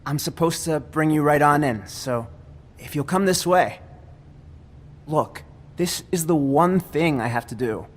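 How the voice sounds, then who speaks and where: A man's voice: a little high, a little slow. An actor playing a meek, dutiful doorman in a video game, polite, hesitant and pleading. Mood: polite, nervous. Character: meek, earnest, dutiful little male doorman.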